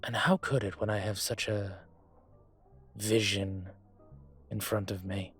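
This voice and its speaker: Man playing a slow, husky dragon mafia boss. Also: on the low side, steady tone, a bit bright, heavily acted. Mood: teasing, smitten. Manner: unhurried, courtly, teasing, intimate. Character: older gentlemanly dragon mafia boss, suave, wealthy, courting. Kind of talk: romantic roleplay audio drama acting. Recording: music behind the voice.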